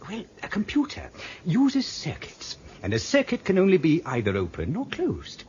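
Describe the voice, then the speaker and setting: A man's voice: high voice, wildly swooping pitch, normal speed, darkish tone. An actor playing a fussy, grumbling old British man who rambles and explains pedantically in a radio drama. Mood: pedantic, explaining. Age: older. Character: fussy, grumbling old man, former trainee computer operator. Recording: dull lo-fi radio recording with hiss.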